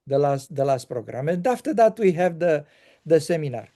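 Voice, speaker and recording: A man hosting a casual conversation, talking haltingly with many pauses and fillers. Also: on the high side, big pitch swings, warm tone. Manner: halting, pausing often with fillers.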